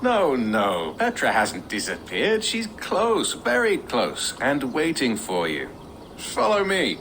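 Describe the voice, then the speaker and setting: Whimsical, swooping talking elk spirit. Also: male voice, medium pitch, wildly swooping pitch, takes it slowly, a bit bright, slight background noise, heavily acted. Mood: breezy, inviting. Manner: playful, sing-song, rhetorical. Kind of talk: video game acting.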